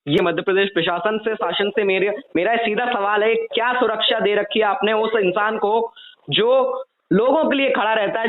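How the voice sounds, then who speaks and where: A man's voice: high voice, phone-quality sound. A man hosting a news interview, speaking emphatically and posing pointed questions. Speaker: news show host interviewing a guest.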